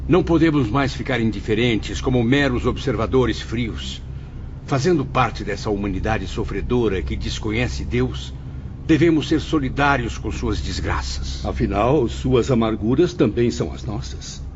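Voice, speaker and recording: An actor playing an earnest, compassionate spirit student who speaks in a declamatory way in an audio drama. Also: male voice, medium pitch, moderate pace, lightly acted. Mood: indignant, earnest. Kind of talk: dramatized audiobook dialogue and recollection. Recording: dull audio with faint background noise.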